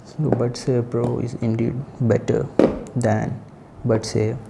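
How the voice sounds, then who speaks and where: A man giving a casual spoken product review in halting short phrases with pauses. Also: mid-range voice, flat delivery, creaky, very noisy. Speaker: tech reviewer.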